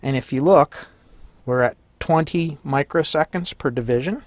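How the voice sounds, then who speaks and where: A man with a slightly hoarse voice giving a casual hands-on electronics tutorial, thinking aloud and correcting himself as he works. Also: fairly high pitch, moderate pace, no echo. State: slightly hoarse, losing his voice. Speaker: electronics hobbyist demonstrating a kit.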